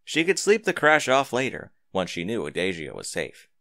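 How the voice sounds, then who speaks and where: A man's voice: fairly low pitch, wildly swooping pitch, moderate pace, gravelly, bright, forceful tone, no room sound. A man reading scripted fiction aloud as a dramatic narrator, close to the microphone.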